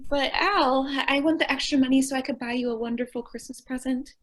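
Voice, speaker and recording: Woman acting airheaded young lady, higher voice, cheerful, earnest, naive, old-time radio comedy. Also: fairly even intonation, a little fast, a little hiss or hum, natural voice. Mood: sweetly pleading. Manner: naive, earnest, mangles what she means to say. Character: ditzy, cheerful, scatterbrained young woman shop worker.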